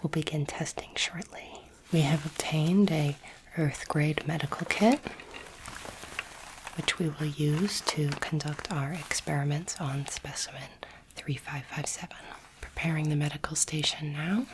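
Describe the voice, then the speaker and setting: A woman voicing a calm, clinical alien doctor in an ASMR roleplay, whispering softly in a measured, formal and soothing way. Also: sits low, background noise. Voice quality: soft, breathy whisper. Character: calm clinical alien space doctor examining a human specimen.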